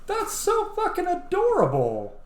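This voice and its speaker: A man's voice: extremely high pitch, huge pitch swings, unhurried, echoey room. A man giving casual, sweary, effusive let's play commentary and reading game text aloud. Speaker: gamer, roleplay streamer.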